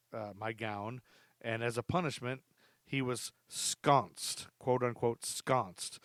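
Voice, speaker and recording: A man's voice: mid-range voice, no echo. Guy hosting a history podcast, rambles n laughs a lot, dry close sound. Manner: rambling storyteller, laughs often. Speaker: podcast host. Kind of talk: casual history chat.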